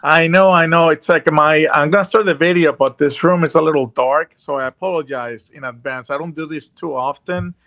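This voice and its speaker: A man's voice: on the high side, steady tone, a little fast, hardly any pauses, fairly bright tone, muffled recording. A man from Puerto Rico with a Puerto Rican accent, a guest talking earnestly over a video call and often restarting his sentences. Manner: earnest, rambling, frequent restarts. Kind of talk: casual chat.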